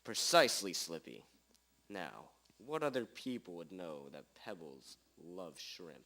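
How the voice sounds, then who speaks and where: A man's voice: very animated, a little slow, pushed and bright, full character voice. A young-sounding amateur actor, a man playing an impatient, self-important private detective with formal, theatrical delivery in a radio drama. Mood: deducing. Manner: formal, theatrical interrogating, condescending to sidekick. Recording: low-quality amateur recording.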